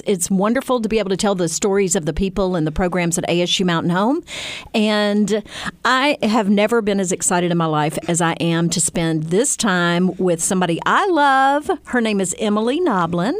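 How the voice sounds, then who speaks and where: A woman's voice: medium pitch, normal speed, no echo. A woman from Mississippi, hosting an interview show and gushing over her guest. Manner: gushing, effusive, excitable. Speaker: host. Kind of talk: casual interview chat.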